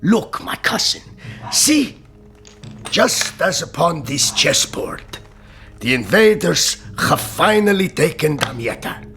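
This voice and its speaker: An actor playing a patient, shrewd sultan in a radio drama, speaking in a measured, stately way. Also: male voice, on the high side, sing-song, fairly bright tone, faint music behind, full character voice. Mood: confident, prophetic.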